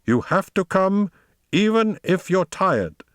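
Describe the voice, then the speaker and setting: A man's voice: high-pitched, big pitch swings, takes it slowly, fairly bright tone, no echo, clean studio sound, pitched above the performer's own voice, exaggerated voice. A man voicing a lonely, domineering dog owner from a storybook, speaking in a condescending, commanding and theatrical way. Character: lonely domineering man, patronizing, menacing, maudlin with his dog.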